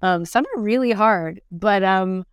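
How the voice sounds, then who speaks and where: A woman's voice: mid-range voice, unhurried, clear, resonant tone, no echo, clean studio sound. A middle-aged woman, an actor, chatting casually as an interview guest and thinking aloud as she goes.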